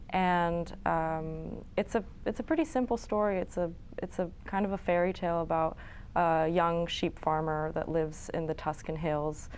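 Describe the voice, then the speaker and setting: Young woman director, relaxed and chatty, lowish voice, studio, faint background hiss. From New York City and Florence. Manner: relaxed, chatty, with frequent fillers and small laughs. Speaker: filmmaker guest. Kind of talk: casual interview answers.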